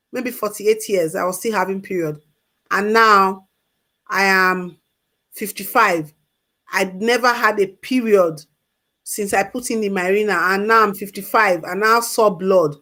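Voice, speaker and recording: A woman's voice: medium pitch, pushed and bright. A woman on a call-in talk show giving health advice in an emphatic way, repeating her key points. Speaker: health expert guest.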